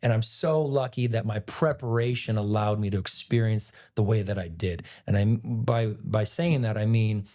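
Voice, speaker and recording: Raspy-voiced male actor, chatty guest spinning a story, loose and animated. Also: fairly low pitch, moderate pace, hardly any pauses. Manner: animated, rambling retelling with many "like"s. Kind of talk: casual storytelling interview.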